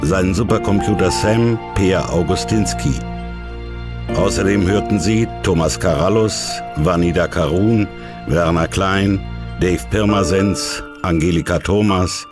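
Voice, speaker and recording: A man's voice: low voice, unhurried, music in the background. A man reading scripted closing credits as announcer of a radio play.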